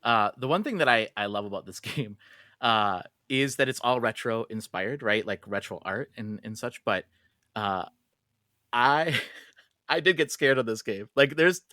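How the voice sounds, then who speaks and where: A man's voice: medium pitch, expressive, unhurried, bright, forceful tone, dry recording, studio quality. A man hosting a podcast, asking questions in a casual, conversational way. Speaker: podcast host, interviewer.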